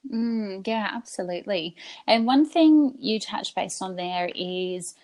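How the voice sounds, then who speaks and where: A woman's voice: mid-range voice, expressive, slow pace, a little mellow. Woman, podcast host, affirming, conversational questions. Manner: affirming, asks long questions. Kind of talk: interviewer, conversational.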